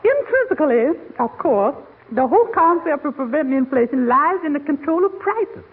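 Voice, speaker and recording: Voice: sits high, very animated, normal speed, phone-quality sound. An actor playing a cheerful household maid in 1940s radio comedy, mixing folksy grammar with pompously learned words, on an old radio recording. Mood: earnest, self-important.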